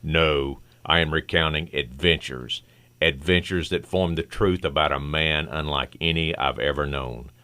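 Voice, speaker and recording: A man's voice: rumbling low, steady tone, a little slow, sharp tone, clear, resonant tone, exaggerated voice. A man playing the solemn narrator and chronicler of a superhero radio serial, with a dramatic delivery.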